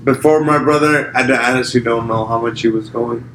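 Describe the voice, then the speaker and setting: A man from Pakistan, a podcast guest, talking casually about his business in a halting way with frequent fillers and restarts. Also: medium pitch, steady tone, a bit bright, slight background noise. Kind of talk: casual conversation. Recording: echoey room with faint ambience.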